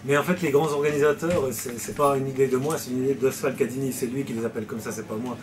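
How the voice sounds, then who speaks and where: A Swiss man talking in an interview about a book he wrote. He speaks hesitantly, stopping to restart his sentences and filling gaps with fillers. His voice sits at an ordinary pitch, and a steady background noise stays clearly audible. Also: fast. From Switzerland. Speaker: novelist being interviewed. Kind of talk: casual interview answers.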